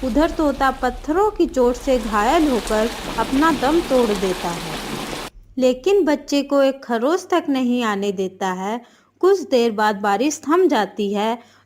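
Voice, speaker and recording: A woman narrating a scripted moral tale for a cartoon as the storyteller. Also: a little high.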